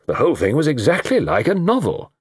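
A man's voice: a little high, wildly swooping pitch, quick pace, slightly rough, dry recording, studio quality, voice pushed up, exaggerated voice. A man voicing a hearty, blunt British colonel in a storybook, blustering and exclaiming in astonishment. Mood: excited.

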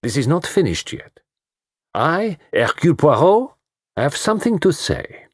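A man voicing a fussy, commanding detective, pompous and precise, in a slightly husky voice. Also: fairly high pitch, big pitch swings, measured pace, no echo, clean studio sound, voice pushed up, heavily acted. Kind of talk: audiobook reading.

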